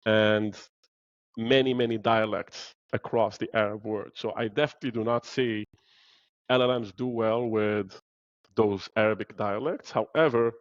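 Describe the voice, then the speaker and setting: A man from Morocco speaks as a podcast guest, explaining his view earnestly with many small fillers. His voice sits on the low side, and the recording sounds dull and lo-fi with almost no room sound. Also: steady tone, moderate pace, dry recording. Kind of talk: podcast interview.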